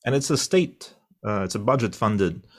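Deep-voiced man, thoughtful. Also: animated, normal speed. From Russia. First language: Russian. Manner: thoughtful, many fillers and restarts. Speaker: interpreter. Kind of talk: conversational interview answer.

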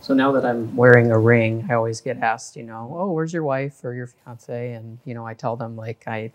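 A man's voice: mid-range voice, steady tone, moderate pace. A man with a mid-range voice talks candidly in a casual panel discussion. His tone stays open and upbeat throughout. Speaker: panel guest.

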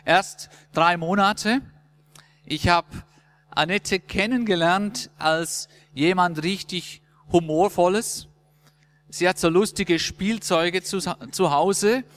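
A man hosting a casual church interview, asking friendly, joking questions at an unhurried pace. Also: high voice, a bit bright. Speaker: interview host in church service. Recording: long reverb.

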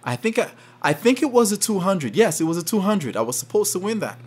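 A man from Saint Kitts, a podcast guest telling a story in lively conversation, often laughing and acting out what people said. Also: high voice, fairly quick, slightly rough. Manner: animated, laughs often, acts out quotes.

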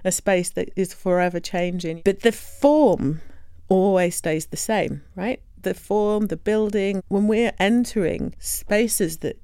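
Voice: mid-range voice, slow pace, warm tone, smooth, no echo. Reflective speaker, thoughtful, searching, dry close sound. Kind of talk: reflective discussion.

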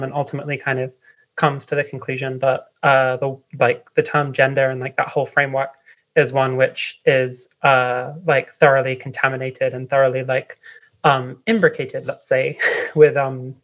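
A guest from London talks through ideas in a meandering way, full of fillers and small laughs. The voice sits at an ordinary middle pitch. The sound is a bit dull and lo-fi, like a remote call. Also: fairly bright tone. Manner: meandering, lots of "um" and "like", light laughs. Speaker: guest writer and editor. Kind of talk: casual remote interview explanation.

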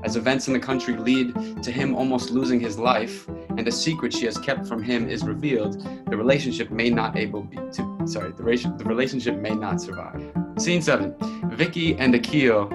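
Casting: male narrator for a play reading's synopsis and directions, medium pitch, prominent guitar music behind him. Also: a little fast. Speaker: stage directions reader.

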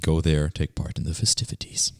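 A man's voice: a bass voice, normal speed, creaky, no echo, whispered, clean studio sound. A man co-hosting a podcast, telling stories casually in slangy German mixed with English words and hesitations. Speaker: podcast co-host. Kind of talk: casual conversational storytelling.

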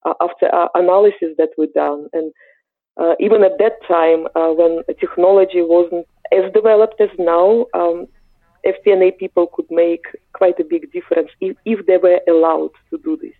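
Ukrainian woman in her forties, podcast guest, halting and thoughtful, heard over a thin, dry phone line. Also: fairly low pitch, fairly even intonation, no room sound. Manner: thoughtful, many hesitations. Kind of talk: podcast interview answers.

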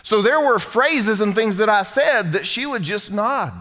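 A middle-aged pastor preaches with a bright, somewhat high voice. He jokes with the congregation and keeps his delivery conversational in a church hall. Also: lively intonation, measured pace, faint music behind. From United States. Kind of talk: sermon.

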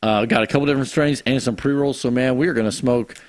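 A man with a raspy voice hosting a casual live stream, chatty and laid-back. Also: medium pitch, fairly even intonation, quick pace, no echo. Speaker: show host.

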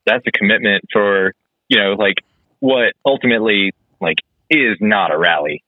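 A man in his mid thirties, a guest in a casual chat, easygoing and halting, full of fillers. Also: lowish voice. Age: 30s. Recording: tinny phone-like call line.

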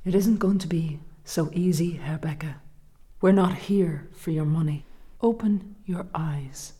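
An actress playing a bitter, determined middle-aged former prisoner in a radio drama, commanding and accusing. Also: female voice, deep voice, a little slow, mellow, echoey room. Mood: menacing.

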